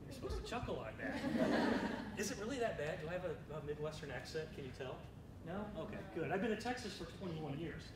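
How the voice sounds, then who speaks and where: Guy from ohio in his 40s chatting to a classroom, high voice, heard from far off in a big echoey room with rumble. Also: sing-song, fast. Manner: chatty, jokes with the audience. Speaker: school district administrator. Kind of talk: presentation to student teachers.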